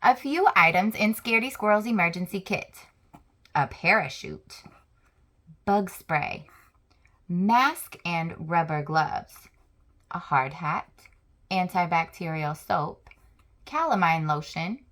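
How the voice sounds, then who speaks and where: Slow, lowish-voiced woman reading aloud. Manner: slow, expressive storytelling with list-like delivery. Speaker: children's book read-aloud host. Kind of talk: reading a picture book aloud to children.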